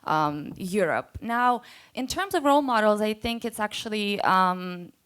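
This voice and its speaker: A woman from Bosnia and Herzegovina with a Bosnian accent, a non-native English speaker giving an earnest, unscripted talk as a panelist at a conference workshop, with many filler pauses. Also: medium pitch, expressive, fairly bright tone, clear, resonant tone. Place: conference room.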